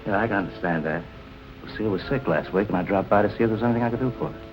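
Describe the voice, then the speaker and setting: A man's voice: lowish voice, racing, natural voice, low-quality recording. An actor playing a cool, wry tough-guy suspect with slangy, casual 1940s film noir delivery. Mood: wry, defensive. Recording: vintage film soundtrack, faint music.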